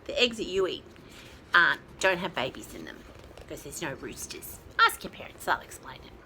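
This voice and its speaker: A woman's voice: low-pitched, very animated, normal speed, sharp tone, a little hiss or hum. A woman reading a picture book expressively to young children and explaining things simply. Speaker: children's storyteller.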